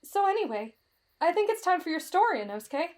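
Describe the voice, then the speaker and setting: A woman's voice: very high voice, very animated, fast talker, darkish tone. A woman voicing a timid, lovestruck teenage boy in an anime-style roleplay drama, with a dramatic, whiny delivery that swings between fretful and gushing. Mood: chatty, cautious. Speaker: voice actor in roleplay audio.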